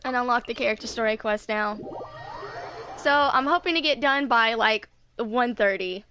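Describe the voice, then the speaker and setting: A woman's voice: a little high, moderate pace, bright, forceful tone, smooth. A woman in her twenties chatting casually while streaming a video game, rambling and hesitant as she goes. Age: 20s. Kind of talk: casual live gameplay chat.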